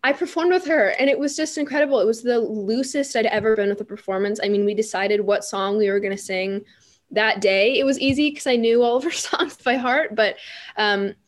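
A teenage girl, a young musician, telling stories in an interview in a chatty, animated way with many fillers and restarts. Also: a little high, fairly quick. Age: teens. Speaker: guest musician. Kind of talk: interview storytelling.

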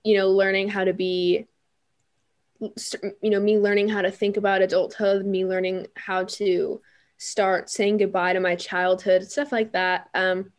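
A teenage girl talks as a guest in an interview, her voice sitting at an ordinary middle pitch. She tells her stories in a chatty, animated way, stumbling over restarts and fillers as she goes. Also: measured pace, lo-fi sound. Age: teens. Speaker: guest musician. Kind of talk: interview storytelling.

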